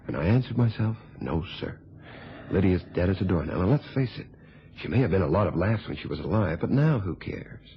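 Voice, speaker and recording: An actor playing a cool, calculating blackmailing reporter in a radio thriller, with a husky voice and a smug, sardonic way of telling things. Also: male voice, low-pitched, expressive, exaggerated voice. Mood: callous, amused. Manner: smug, sardonic, unhurried storytelling. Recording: dull, lo-fi old radio recording.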